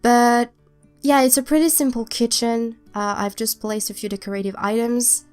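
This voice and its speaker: A woman's voice: a little high, fairly even intonation, moderate pace, darkish tone, faint music behind. A young woman with a cold and a stuffed-up voice, not a native English speaker, casually chatting in a rambling, hesitant voiceover. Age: young adult. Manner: rambling, hesitant, many restarts. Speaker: YouTuber narrating a speed build.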